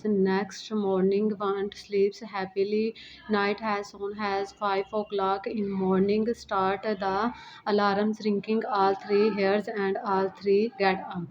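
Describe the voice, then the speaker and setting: A woman's voice: mid-range voice, flat delivery, slow, darkish tone. A woman reading scripted narration for a moral story, on a muffled recording with some noise. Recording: dull lo-fi sound, faint noise.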